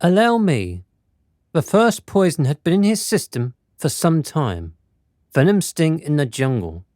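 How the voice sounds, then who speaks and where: A precise, courteous doctor from a period radio play, acted by a man. His voice sits fairly high and swings widely in melody, while the phrasing stays formal and deliberate. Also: very animated, darkish tone, no room sound, clean studio sound. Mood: grave, explanatory. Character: courteous, precise physician reporting to a lord. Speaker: actor in a radio drama. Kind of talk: theatrical period radio drama acting.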